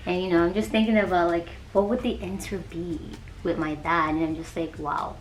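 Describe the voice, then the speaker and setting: A woman's voice: lowish voice, steady tone, a little fast. A woman in her twenties chatting casually on her vlog, rambling and giggly, with lots of "like". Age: 20s. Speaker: vlogger. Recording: roomy with background noise.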